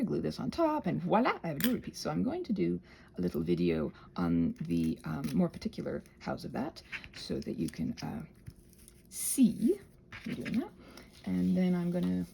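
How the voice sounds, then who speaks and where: A woman talks through a hands-on craft in a loose, rambling way, often breaking off to think aloud. Her voice swings widely in pitch and crackles with fry. There is a faint layer of background noise behind her. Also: mellow. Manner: chatty, self-interrupting, thinks aloud, playfully self-soothing. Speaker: craft and ASMR channel creator, host. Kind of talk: rambling casual craft tutorial.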